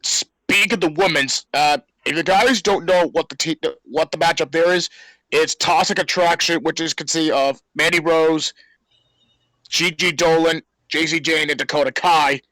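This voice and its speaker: Guy podcast co-host, bright forceful voice, pretty dry sound, casual chat. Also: fairly high pitch, steady tone, moderate pace, no room sound, poor audio. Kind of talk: casual wrestling review chat.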